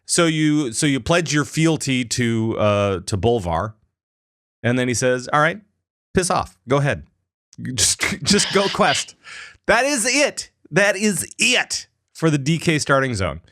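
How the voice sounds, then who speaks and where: A man co-hosting a casual gaming podcast, telling a story with lively acted-out lines. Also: a little high, measured pace, no room sound, clean studio sound. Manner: animated storytelling, acts out characters' lines. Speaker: podcast co-host.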